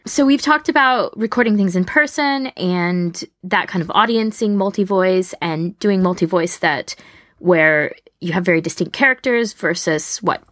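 Low-pitched woman chatting on a podcast. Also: normal speed, fairly bright tone, no room sound, studio quality. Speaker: podcast co-host. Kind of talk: casual podcast discussion.

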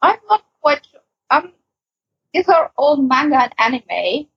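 Woman w high husky voice chatting on a podcast, dull lo-fi audio. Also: big pitch swings, a little slow, dry recording. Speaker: podcast host. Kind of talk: casual podcast discussion.

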